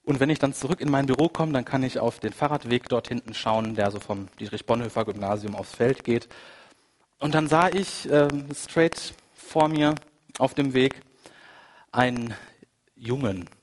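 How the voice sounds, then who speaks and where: A man preaching a sermon while he has a cold, his pitch sitting in an ordinary middle range. He talks in a loose, conversational way with little asides. Quiet, reflective stretches give way to rising, emphatic ones. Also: normal speed. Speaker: pastor. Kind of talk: sermon with personal anecdote.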